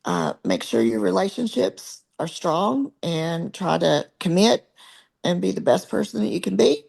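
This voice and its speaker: A woman co-hosting a casual podcast chat, hoarse and husky because she is losing her voice. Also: on the low side, sing-song, a little slow, a little mellow. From American South. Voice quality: husky, gravelly. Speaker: podcast co-host.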